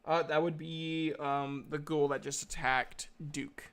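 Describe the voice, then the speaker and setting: A man narrating a tabletop game as game master in a halting, informal, slangy way. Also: a little high, little pitch movement, a bit bright, smooth.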